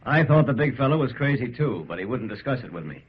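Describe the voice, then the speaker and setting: An actor playing a keyed-up sidekick who narrates the story in dramatic first-person radio style. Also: male voice, medium pitch, sing-song, fast talker, husky. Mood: tense, wry. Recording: dull old radio recording, loud background noise.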